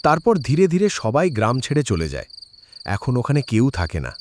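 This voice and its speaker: A man's voice: medium pitch, sing-song, moderate pace, slight background noise, close to an everyday voice. A man playing a wary village shopkeeper in a horror cartoon, speaking in an ominous, cautioning way. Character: wary village shopkeeper warning a stranger of a curse.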